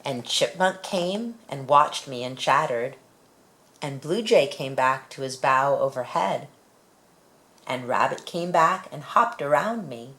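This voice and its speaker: A woman reading a children's picture book aloud in an expressive storytelling manner. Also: rumbling low, slow pace. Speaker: storybook reader.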